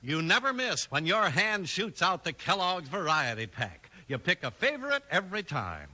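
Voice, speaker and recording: A man's voice: high-pitched, very animated, normal speed, sharp tone. A man with an American accent announcing and reading a sponsor message in 1950s radio style. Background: music.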